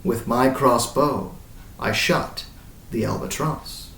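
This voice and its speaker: A man's voice: medium pitch, takes it slowly, creaky, fairly bright tone, a little hiss or hum. A man voicing a haunted, grey-bearded old sailor who recounts his voyage in dramatic, incantatory verse that swells and falls away. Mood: remorseful. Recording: roomy echo, distant mic.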